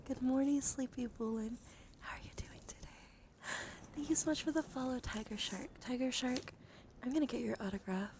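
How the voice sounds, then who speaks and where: A woman hosting an ASMR livestream, chatting gently and soothingly to viewers in a hushed voice that often drops into whispers, slightly strained from just waking up. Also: sits high, fairly quick, background noise.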